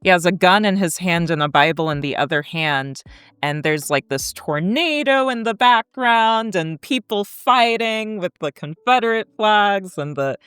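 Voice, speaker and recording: An American woman in her thirties telling a story as an academic guest in an articulate, deliberate, conversational way. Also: mid-range voice, expressive, a bit bright, clear, resonant tone, dry recording. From Kansas, United States. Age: 30s.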